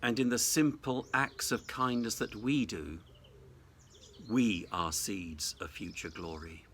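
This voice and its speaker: A man, a senior Anglican clergyman, delivering a reflective Easter sermon in a measured, deliberate, formal way. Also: fairly low pitch, a bit bright, a little hiss or hum. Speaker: Anglican cathedral dean giving Easter message. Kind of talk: reflective sermon, prepared address.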